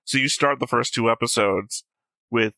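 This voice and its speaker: A man's voice: mid-range voice, normal speed, sharp tone, no echo. A man hosting a reaction show, explaining at length in a casual, musing way.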